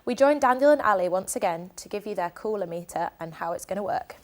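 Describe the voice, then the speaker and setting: A woman's voice: mid-range voice, expressive, fast talker. A young woman presenting a live student studio show, linking items with chatty comments.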